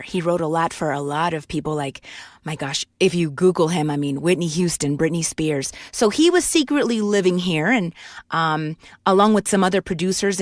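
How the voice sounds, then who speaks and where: A woman's voice: lowish voice, dry recording, clean studio sound. A woman in her forties from Puerto Rico, telling her life story candidly in a casual interview, with many restarts. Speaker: guest voice actor and singer.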